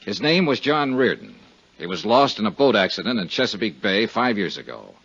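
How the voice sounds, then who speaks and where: Persistent, courteous radio investigator of the 1950s: husky, animated delivery, cool temper, lo-fi vintage sound. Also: male voice, lowish voice, fairly quick, heavily acted. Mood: firm, probing. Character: cool, persistent, polite male insurance investigator. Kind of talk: 1950s radio detective acting and first-person narration. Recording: dull 1950s radio recording.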